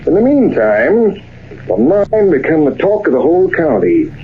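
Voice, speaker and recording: An actor playing a folksy American old-timer cowboy, spinning a tale as narrator of an old radio western. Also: male voice, on the high side, huge pitch swings, moderate pace. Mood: folksy storytelling. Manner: colloquial, drawling yarn-spinning. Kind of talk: 1930s-40s radio storytelling. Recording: tinny, noisy old radio recording.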